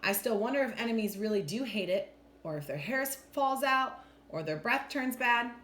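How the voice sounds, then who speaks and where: A woman reads a children's storybook with very lively, swinging intonation. Her manner is animated and expressive, as in a teacher's storytime. The room is echoey, with faint noise behind her. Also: fairly high pitch, moderate pace. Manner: animated, clearly acts out lines. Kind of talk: expressive storybook read-aloud.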